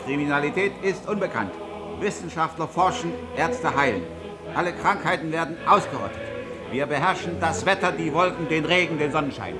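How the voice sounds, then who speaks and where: An actor playing an embittered, suspicious old communist in a psychiatric clinic, in terse radio drama monologue. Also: male voice, on the high side, lively intonation, fairly quick, close to an everyday voice. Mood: declamatory. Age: older. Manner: terse, grumbling, breathy half-whispers swelling to declamation. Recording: noisy ambience behind the voice.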